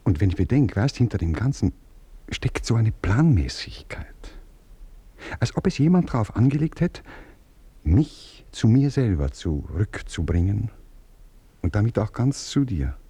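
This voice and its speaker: An actor playing a tender aristocratic baron who speaks intimately and softly in a radio play. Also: male voice, measured pace, breathy edge, no echo, exaggerated voice. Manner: intimate, soft-spoken, lively pitch.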